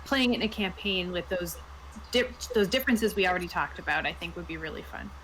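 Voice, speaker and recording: A woman's voice: fairly low pitch, expressive, fairly quick, a bit bright, a little hiss or hum, poor audio. A woman talking casually and enthusiastically as a player reviewing a tabletop game. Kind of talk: casual chat.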